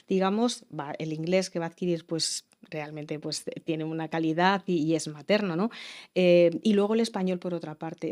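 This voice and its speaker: Measured Spanish woman explaining, mellow voice. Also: mid-range voice. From Spain. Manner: thoughtful, hesitant with fillers and restarts. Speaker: education expert guest. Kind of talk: explanatory interview talk.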